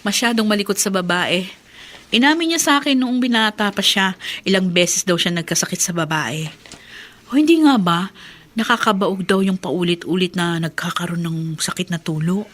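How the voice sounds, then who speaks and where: A Filipino actress playing a married schoolteacher, friendly yet wistful, in a radio drama. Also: female voice, fairly low pitch, moderate pace, fairly bright tone. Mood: wistful, confiding. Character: married schoolteacher woman, friendly, wistful about being childless. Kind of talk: Tagalog radio drama acting.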